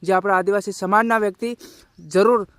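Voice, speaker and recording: A man giving informal social media commentary to viewers in a halting, repetitive, colloquial way. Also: high-pitched, steady tone, no room sound. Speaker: video creator and community commentator.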